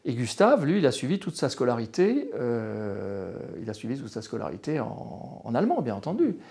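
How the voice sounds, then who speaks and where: A man from France in his late sixties, a retired history professor, gives a personal account in an interview. He talks hesitantly, with many fillers and restarts, and his voice has a gravelly edge. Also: mid-range voice, wildly swooping pitch, moderate pace, mellow. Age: 60s.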